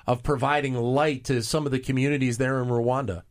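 A male host interviewing guests, halting and often restarting his questions. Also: mid-range voice, fairly even intonation.

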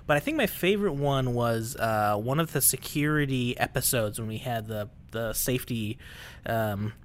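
A man's voice: mid-range voice, normal speed. Joking self-deprecating male co-host. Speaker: podcast co-host. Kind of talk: casual podcast chat.

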